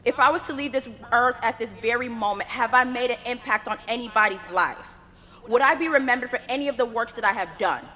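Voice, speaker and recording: A young woman, a native Yoruba speaker, delivers a graduation address with earnest, measured oratory and rhythmic lists. Her voice is bright and edged, sitting slightly high for a woman. It rings out through the long reverb of a large ceremony space. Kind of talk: commencement address.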